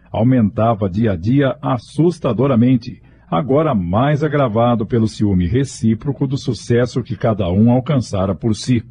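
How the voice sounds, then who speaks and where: A man's voice: on the low side, warm tone, a little hiss or hum. A Brazilian man giving scripted, dramatic narration for a radio soap opera.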